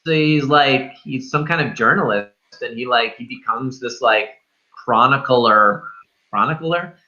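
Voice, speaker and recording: A man's voice: mid-range voice, measured pace, pushed and bright, dull audio. A man hosting a casual film discussion, feeling his way through ideas in a searching, repetitive, sweary manner. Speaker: film review show host.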